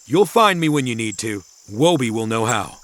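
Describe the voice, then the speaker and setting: A man playing a grave, secretive professor in a kids' audio drama, speaking in a measured, cryptic and ominous way. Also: medium pitch, big pitch swings, a bit bright.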